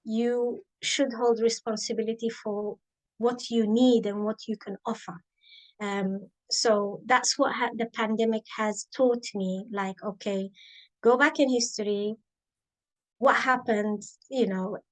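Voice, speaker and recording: A woman in her thirties, raised in the Emirates, telling her career story in an interview, thoughtful and pausing with many ums. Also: a little high, fairly even intonation, low-quality recording. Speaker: guest, biomedical scientist and author. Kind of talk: interview, reflective personal story.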